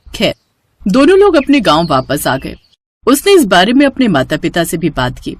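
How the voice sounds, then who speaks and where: Lively female storyteller with wide pitch swings. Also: normal speed, no room sound. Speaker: narrator. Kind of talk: scripted moral story narration.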